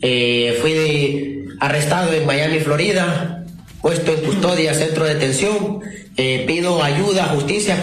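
A man from Honduras making an earnest, halting plea for help in a recorded message. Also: on the high side. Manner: halting, pleading. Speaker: detained deportee appealing for help. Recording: echoey amateur recorded message.

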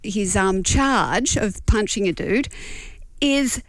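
An Australian woman co-hosting a radio show, chatting casually with quick asides and laughs. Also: mid-range voice, lively intonation, dry recording, clean studio sound. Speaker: radio co-host. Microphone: studio microphone.

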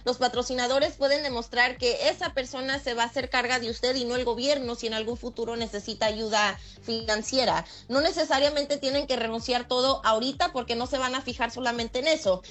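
A woman from Michoacán, a lawyer who is a radio guest, explaining legal rules in a steady, patient way. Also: a little high. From Michoacán, Mexico. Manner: steady and explanatory, laughs easily.

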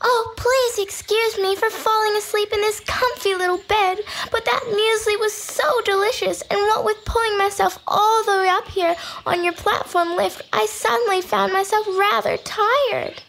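A woman's voice: extremely high pitch, steady tone, normal speed, vocal fry, few pauses, a bit bright, slight background noise, voice pushed up, exaggerated voice, poor audio. A woman voicing a polite, earnest, chatty little girl in a children's story. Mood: apologetic.

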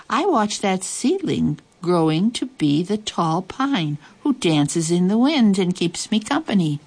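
A woman voicing an ancient, contented rock who reminisces in an unhurried storytelling way. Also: mid-range voice.

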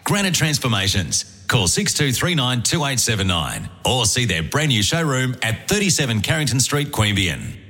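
A man with an Australian accent reading a scripted sales pitch as an advert voice-over. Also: very animated, moderate pace, sharp tone, slightly rough, faint music behind.

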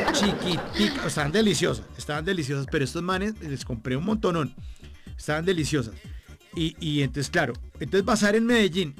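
A man's voice: a little high, a bit bright. A man with a Bogotá Colombian accent hosting a casual interview in a jovial, colloquial way, repeating phrases for emphasis. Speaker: radio show host interviewing a guest. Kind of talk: casual, chatty broadcast interview.

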